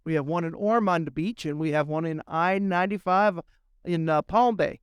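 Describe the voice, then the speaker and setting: A man from Florida hosting a podcast, chatting casually and rambling with lots of fillers, a little raspy from a cold. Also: fairly high pitch, normal speed, no echo. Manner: rambling, with many uh fillers and restarts. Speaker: podcast host. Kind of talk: casual chat.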